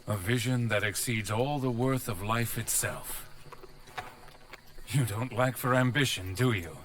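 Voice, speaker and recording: An actor playing a stern, loyal general of ancient China in a video game cutscene, speaking in a measured, dutiful way. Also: male voice, mid-range voice, noisy background, natural voice. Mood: resolute. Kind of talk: dramatic game cutscene acting.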